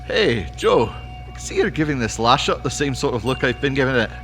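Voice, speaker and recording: A man's voice: mid-range voice, sing-song, moderate pace, a bit bright, faint music behind. A man playing a plain-spoken, loyal household employee in a sci-fi audio drama, informal and down-to-earth in manner. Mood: puzzled, friendly.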